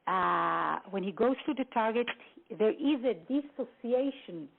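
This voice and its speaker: A woman from Israel giving a halting expert briefing full of fillers and restarts, heard over a phone line. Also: a little high, expressive, vocal fry. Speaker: guest expert on a phone seminar. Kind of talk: lecture-style briefing.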